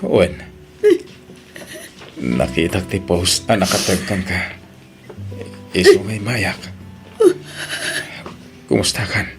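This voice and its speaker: A man's voice: sits low, slow pace, long pauses. A man playing a sober, sympathetic character in a family audio drama. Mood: tender. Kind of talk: radio-style drama acting. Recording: music bed under the voice.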